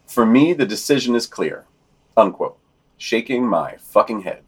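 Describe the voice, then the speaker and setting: A man's voice: on the low side, lively intonation, measured pace, husky. A man co-hosting a podcast, reading scripted news commentary and quotations with informal, sardonic asides. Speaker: podcast co-host.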